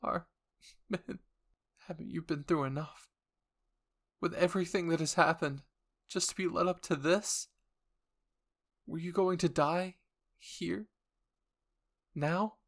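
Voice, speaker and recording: A man's voice: a little high, slow, long pauses, voice pushed up. A man voicing an anguished young soldier in an audio roleplay, pleading desperately with emotional outbursts. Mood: anguished grief. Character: anguished young soldier screaming inner pleas for a friend.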